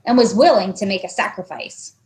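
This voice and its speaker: A woman narrating a children's book aloud, expressive and carefully phrased, as a storyteller. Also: mid-range voice, fairly quick.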